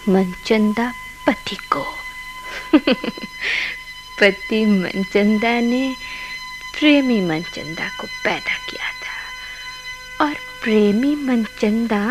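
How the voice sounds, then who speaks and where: An actress playing a mocking, bitter, unhinged woman in a Hindi radio play, speaking in a theatrical, taunting, halting way. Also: high-pitched, heavily acted, poor audio.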